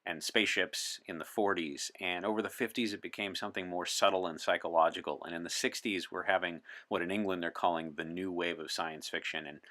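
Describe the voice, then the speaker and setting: A man's voice: low-pitched, fairly even intonation, brisk, few pauses, sharp tone. A man chatting as an interview guest, articulate and opinionated, with a wry streak. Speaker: podcast guest. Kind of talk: in-character interview chat as a 1960s time traveler.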